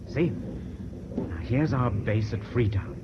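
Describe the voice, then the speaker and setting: A level-headed seaman prisoner, acted by a man in a radio play, steady and cautioning, at a middle pitch. The sound is muffled, with loud noise behind him. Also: slow pace, natural voice. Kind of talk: British radio drama acting.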